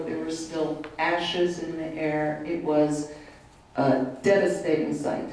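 A woman's voice: sits low, warm tone. An older woman on a panel, telling stories earnestly and mixing Hebrew terms into her talk. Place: large hall with long table. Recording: echoey hall, distant mic.

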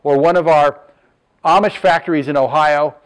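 A man's voice: a little high, fairly even intonation, normal speed, clean tone, poor audio. Older man presenting showroom furniture. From Southern California. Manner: plain, matter-of-fact, lists measurements with fillers. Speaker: furniture business owner. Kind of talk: product presentation.

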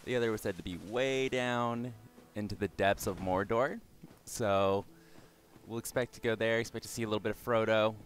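A young man with a somewhat low voice, a little raspy and hoarse from yelling the day before. He narrates his gameplay casually, cursing at enemies and laughing at his own mistakes. He talks into a desk microphone. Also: steady tone, normal speed, faint music behind. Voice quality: slightly raspy. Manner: swears and exclaims at the game, self-mocking. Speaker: gaming channel host. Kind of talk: casual let's-play commentary.

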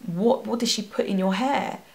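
Animated young woman with gravelly tone. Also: mid-range voice, moderate pace. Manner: animated, expressive, gesturing a lot. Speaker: hair vlogger. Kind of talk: storytime vlog. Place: bedroom at home. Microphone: camera at face distance.

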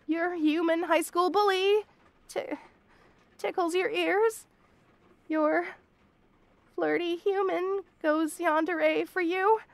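A woman's voice: very high voice, fairly bright tone, no room sound, heavily acted. A woman voicing a shy young bunny girl roommate in a romantic comedy audio roleplay, stammering and protesting in a flustered way. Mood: embarrassed, reluctant.

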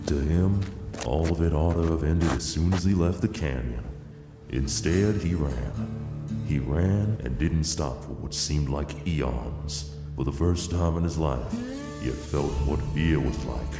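A man's voice: extremely low pitch, unhurried. A man narrating an audio drama as a grave, solemn storyteller of a western tale. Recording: echoey long reverb under loud music. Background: music.

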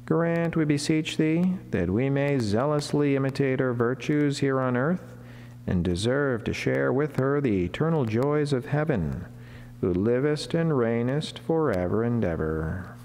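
A middle-aged man, a friar, reads a devotional sermon in a formal, measured way with deliberate pauses, speaking at a lectern microphone in a chapel. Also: medium pitch, a little mellow. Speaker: Franciscan friar giving a daily saint reflection. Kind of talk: solemn read sermon, devotional reading. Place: wood-panelled chapel, indoors, at a lectern. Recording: long church reverb, clear background noise, hum.

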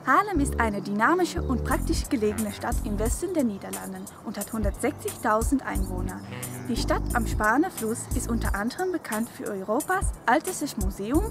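A woman in her twenties from the Netherlands, giving a bright, lively presentation outdoors. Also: a little high, moderate pace, music in the background. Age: 20s. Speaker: city ambassador. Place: outdoors in a city and park.

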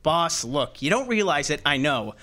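Guy doing sardonic detective sidekick, old radio style, slangy, really animated, highish voice. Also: moderate pace. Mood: exasperated, sardonic. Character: wisecracking, exasperated male assistant to a detective. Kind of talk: old-time radio drama acting and narration.

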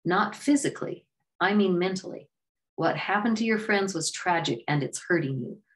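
A woman voicing a calm, wise male bee who guides a weary traveler, speaking in a patient, measured way. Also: fairly low pitch, pitched above the performer's own voice, natural voice. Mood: coaching. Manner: patient, measured, gently coaching.

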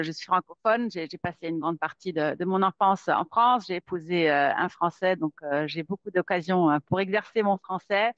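Brief: female lawyer presenting a webinar, halting and filler-heavy, dry muffled laptop-mic sound. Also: mid-range voice, no room sound. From Netherlands. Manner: many fillers and repeated words. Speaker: employment lawyer giving a webinar. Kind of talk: hesitant spoken presentation. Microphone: webcam or laptop mic on a video call. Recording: dull, dry lo-fi webinar audio.

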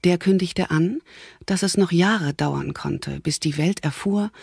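A woman's voice: lowish voice, measured pace, gravelly, a little mellow, breathy edge, dry recording. A woman narrating a scripted German thriller audio drama as the storyteller.

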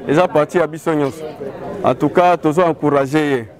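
A man's voice: a little high, brisk. Congolese man in his forties, crowded outdoors. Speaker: local party official and candidate. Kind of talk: interview statement to camera. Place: covered outdoor yard at rally. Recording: outdoor gathering with loud crowd.

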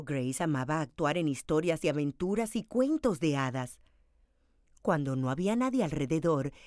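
A woman storyteller reading a children's picture book aloud in an expressive, dramatized way. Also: low-pitched, dry recording, studio quality.

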